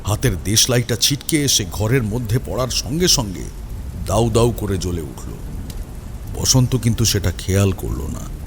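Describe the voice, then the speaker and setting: A man's voice: lowish voice, expressive, moderate pace, background noise. A man narrating a scripted horror story in a dramatic style. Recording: rain and ambient effects behind.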